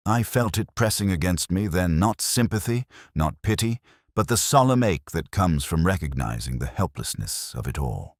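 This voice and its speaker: A man with an extremely low voice narrates a detective story in the first person as a weary, melancholy inspector. His delivery is solemn, measured and literary, yet the pitch moves expressively through each phrase. The sound is dry and close, with no room around it. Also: big pitch swings, dry recording. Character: weary, melancholy male police inspector recounting a case. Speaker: narrator of an audio detective drama.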